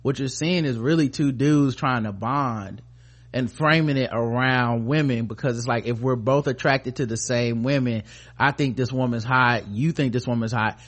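Casual male podcast host, average-pitched voice, rambling and profane, loose slangy commentary. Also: normal speed.

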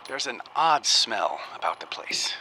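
An actor playing an earnest, uneasy researcher in a radio drama, speaking in a halting, musing way as if keeping an audio diary. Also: male voice, lowish voice, sing-song, bright, forceful tone, full character voice, low-quality recording. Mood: uneasy, tired. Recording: faint noise behind the voice.

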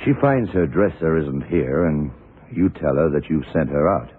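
Very deep-voiced, methodical radio drama detective. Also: male voice, lively intonation, slightly rough, narrow, tinny audio, full character voice. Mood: accusing, assured. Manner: deliberate, probing, punctuated with yeah and right. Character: methodical, blunt, self-assured male police detective. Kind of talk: 1970s radio drama acting. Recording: noisy, band-limited old radio recording.

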